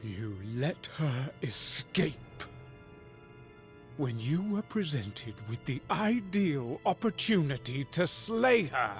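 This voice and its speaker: Slow, imperious god-king. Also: fairly high pitch, very animated, faint music behind, heavily acted. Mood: stern, reproachful. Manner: slow, grave, demanding. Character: stern, imperious god-king, the Allfather, commanding and reproachful. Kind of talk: video game cutscene acting.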